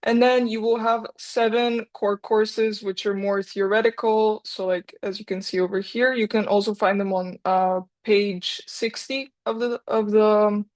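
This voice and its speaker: A woman's voice: medium pitch, fairly even intonation, unhurried, few pauses, a little mellow. A young woman from Bulgaria, a senior student, explaining her major at a university event, chatty and sometimes searching for words. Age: young adult. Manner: chatty, loses her words now and then. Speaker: student speaker. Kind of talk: informational talk at a university event.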